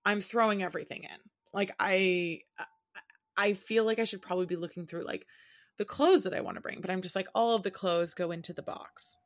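A woman in her thirties co-hosting a podcast in casual chat from home, chatty and expressive, often laughing. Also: mid-range voice, brisk. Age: 30s. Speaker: podcast co-host.

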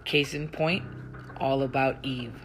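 A woman's voice: rumbling low, fairly even intonation, unhurried. A woman reading as an indignant, protective woman friend, speaking a bitter, fuming inner monologue.

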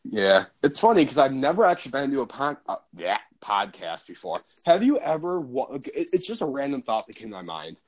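A man's voice: mid-range voice, sing-song, fairly quick, hardly any pauses. A teenage boy in a casual chat, rambling and hesitant, often trailing off mid-thought. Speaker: co-host friend.

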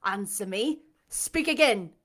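A woman voicing a desperate, passionate blind gentleman in an audiobook, with a dramatic, demanding delivery. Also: mid-range voice, slow pace, creaky. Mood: urgent, demanding. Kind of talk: audiobook character acting.

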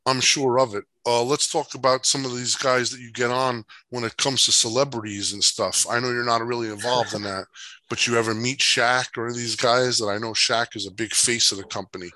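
A man's voice: medium pitch, steady tone, normal speed, bright, forceful tone, dry recording, poor audio. A man hosting a casual interview, rambling through long analogies with many fillers and restarts. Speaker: podcast host.

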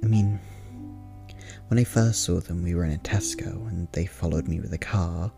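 A performer playing a nervous podcast host in a quiet ASMR roleplay, speaking in a hushed, halting, rambling way with a soft, near-whispered voice. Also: low-pitched, vocal fry, over music. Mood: anxious, hushed. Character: nervous podcast host hiding from eavesdropping vampires.

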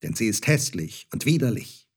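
A man's voice: lowish voice, very animated, normal speed, creaky, husky, full character voice. A man voicing a proud, cruel young boy who mocks others in a fairy tale. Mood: scornful. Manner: taunting, contemptuous.

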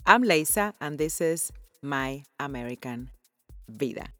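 A woman's voice: deep voice, expressive, measured pace, sharp tone, no room sound, faint music behind. A woman in her forties from Cuba, speaking, hosting her own podcast in an effusive, earnest way and laughing often. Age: 40s. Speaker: podcast host. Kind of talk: personal podcast monologue.

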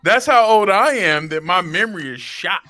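A man's voice: sits high, lively intonation, moderate pace, bright, forceful tone, slight background noise. A man in his thirties co-hosting a podcast, teasing his friends in casual banter. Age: 30s. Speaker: podcast co-host. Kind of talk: casual chat.

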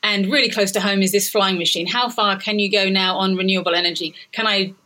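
An Australian woman speaks as a guest on a casual podcast. She talks earnestly, sometimes stopping to restart a thought, with a voice of middling pitch. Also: fairly even intonation, bright, forceful tone. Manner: earnest, slightly halting with restarts. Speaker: guest, adventurer.

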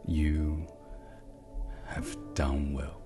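A man leading a guided sleep meditation in a soft, soothing, hushed ASMR style, with long pauses and near-whispered phrases. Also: very deep voice, level tone, over loud music.

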